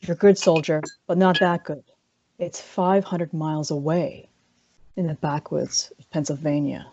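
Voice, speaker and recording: A man's voice: fairly high pitch, flat delivery, normal speed, a little mellow, no echo. Man playing a curt boss, dull dry audio. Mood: cold, impatient. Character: curt, commanding villainous boss on the phone. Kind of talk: script table read.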